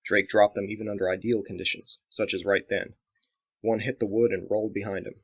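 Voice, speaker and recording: A man's voice: on the low side, level tone, a little fast, husky. A man reading his own dramatic action novel as scripted audiobook narration, in a slightly dull recording.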